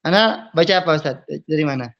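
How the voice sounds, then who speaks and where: A man's voice: on the high side, lively intonation, quick pace, gravelly, a bit bright, highs cut off. Humble man from Madura on a call. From Madura, Indonesia. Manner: humble, deferential. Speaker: student. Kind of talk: class conversation with teacher.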